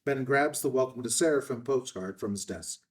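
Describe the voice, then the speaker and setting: Measured male script narrator, distant mic. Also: mid-range voice. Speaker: narrator reading stage directions. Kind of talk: scripted narration at a table read.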